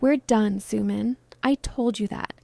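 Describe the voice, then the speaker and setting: Protective, commanding teenage boy for an audio story, read by a woman: quiet, firm, mellow. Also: a little high, steady tone, a little slow, gravelly, no echo.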